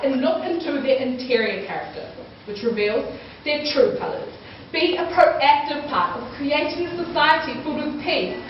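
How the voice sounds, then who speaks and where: A woman's voice: high-pitched, slight background noise. A teenage girl from New Zealand delivering a prepared speech-contest oration in an emphatic, rhetorical way. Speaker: student speaker. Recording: echoey hall, distant dull mic.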